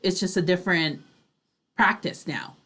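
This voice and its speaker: A woman co-hosting a fashion talk show, chatting casually in an animated, emphatic way. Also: lowish voice, moderate pace. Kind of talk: casual chat.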